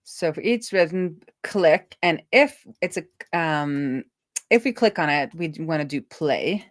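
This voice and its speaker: A woman talking casually as she live-codes, thinking aloud in a playful, animated manner. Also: low voice, a little slow, no echo, clean studio sound. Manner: animated, playful, breaks into laughter and song. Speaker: live-coding streamer, web developer.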